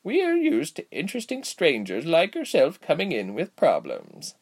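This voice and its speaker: A man voicing a cheerful, giggly elderly village healer woman in a storybook, with a slow, kindly teasing delivery. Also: a little high, huge pitch swings, voice pushed up, heavily acted. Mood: amused, cheerful. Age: older. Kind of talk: storybook character voice.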